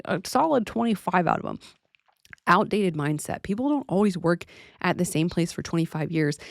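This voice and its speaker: An American woman with a low voice talks straight to her viewers in a casual vlog style. She is blunt and colloquial, leaning hard on her points, and the sound is close and dry. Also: darkish tone, no echo. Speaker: aviation vlogger and pilot. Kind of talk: casual opinion commentary to viewers.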